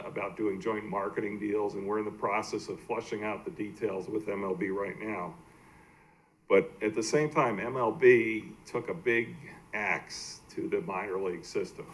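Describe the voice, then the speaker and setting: An American man giving a slide presentation at a public meeting as a team executive, halting and plain-spoken. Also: fairly low pitch, a little hiss or hum, rough recording. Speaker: baseball team executive presenting to a city council. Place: indoor council meeting room.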